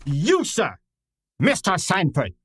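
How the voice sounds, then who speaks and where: A man's voice: high voice, wildly swooping pitch, slow, a bit bright, husky, full character voice. A man playing a pompous, exacting private detective in an old-time radio drama, with formal, precise and theatrical diction. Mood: accusing, triumphant.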